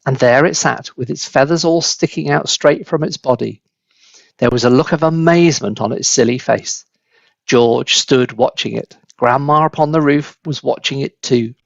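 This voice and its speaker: A man narrating a children's book as an expressive storyteller, in a slightly dull, close recording. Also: medium pitch, moderate pace, creaky, dry recording.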